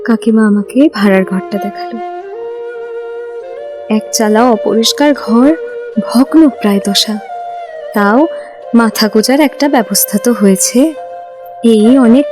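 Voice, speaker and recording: A woman's voice: a little high, a little slow, long pauses, close to an everyday voice. A woman playing a determined young woman who narrates her own story, dramatic and heartfelt. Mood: sorrowful. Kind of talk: scripted first-person story narration. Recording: music behind the voice.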